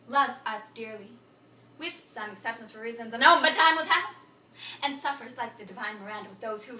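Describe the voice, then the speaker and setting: A woman playing a frantic, babbling thinker in an absurdist stage monologue, incantatory and repetitive, swinging between muttering and shouting. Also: fairly high pitch, a little hiss or hum. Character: frantic, babbling thinker reciting a broken learned tirade. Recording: echoey room, distant microphone.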